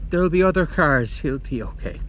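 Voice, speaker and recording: A woman playing a gentle, hesitant, apologetic immortal friend in a horror audio drama, with a husky voice. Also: sits low, expressive, fairly quick, slight background noise. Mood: tentative.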